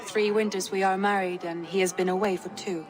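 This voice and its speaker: An actress playing a candid young Viking wife with a wry, frank manner in video game dialogue. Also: female voice, mid-range voice, fairly even intonation, a little fast, close to an everyday voice. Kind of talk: dramatic game cutscene dialogue. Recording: busy crowd and music behind.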